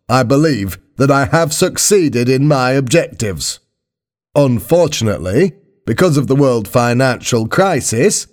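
Man acting a raised-pitch, earnest Prime Minister. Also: lightly acted. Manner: formal, earnest oratory. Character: male Prime Minister, self-justifying populist addressing the nation.

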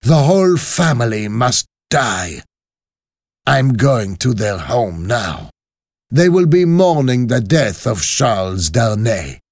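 Man voicing a ruthless, vengeful woman villain, raised pitch. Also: creaky, no echo, clean studio sound, heavily acted. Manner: cold, emphatic, menacing.

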